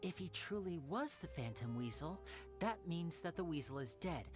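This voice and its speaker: A man voicing a charming, playful young stage magician in a game, smooth and theatrical. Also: on the high side, lively intonation, moderate pace, over music, close to an everyday voice. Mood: suave. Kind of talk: game cutscene voice acting.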